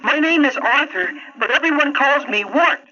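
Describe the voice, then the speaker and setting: A male performer playing an eager, polite, anxious young servant boy, earnest and expressive, in a 1960s children's story recording. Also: extremely high pitch, normal speed, dull audio, full character voice. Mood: polite, nervous. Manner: earnest, wide-eyed, expressive.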